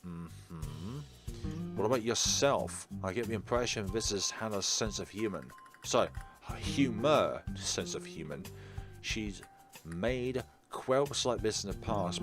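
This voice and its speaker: A man hosting a visual novel playthrough, reading the text aloud and mixing in casual, offhand remarks. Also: lowish voice. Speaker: host of a game playthrough.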